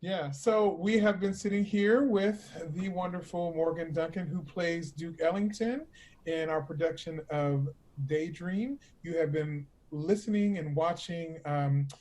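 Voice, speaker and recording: A man's voice: on the high side, a little slow, darkish tone. A man hosting a conversational interview, readily affirming and agreeing with the guest. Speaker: interviewer, host.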